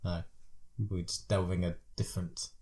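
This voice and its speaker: A man's voice: low-pitched, monotone, normal speed, mellow. A man co-hosting a podcast in casual chat, making dry quips and asking short questions. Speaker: podcast co-host.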